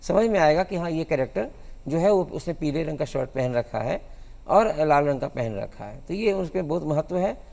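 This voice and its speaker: A man answering studio interview questions as a guest, explaining in a halting way. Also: on the high side, expressive, creaky, darkish tone. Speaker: guest cartoonist.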